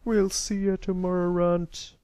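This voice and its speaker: A man's voice: on the high side, fairly even intonation, normal speed, warm tone, clean tone, no room sound, voice pushed up, close to an everyday voice. A man voicing a cruel, mocking young colt bully in a storybook reading. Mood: scornful.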